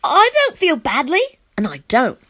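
A woman voicing a brave, excitable young child who recounts an adventure in an earnest, emphatic way. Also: high-pitched, moderate pace, voice pushed up, heavily acted. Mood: excited, relieved.

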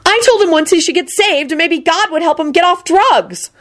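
A woman's voice: very high-pitched, expressive, moderate pace, fairly bright tone, pitched above the performer's own voice. A woman voicing an indignant, self-righteous woman who splutters furiously in an audiobook story.

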